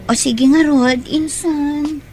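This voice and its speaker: An actress playing a greedy, scheming aunt in an audio drama, speaking with an Ilocano Filipino accent in an exclamatory, theatrical way. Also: female voice, high voice, steady tone, takes it slowly, darkish tone, slight background noise. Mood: falsely caring. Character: greedy, scheming aunt exploiting her singing nephew.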